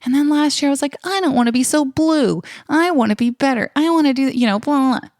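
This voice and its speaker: A woman in her thirties co-hosting a podcast from home, teasing and sassy, quick to cut in. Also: high-pitched, darkish tone, no echo, clean studio sound. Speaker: podcast co-host. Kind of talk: casual banter. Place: home in Texas.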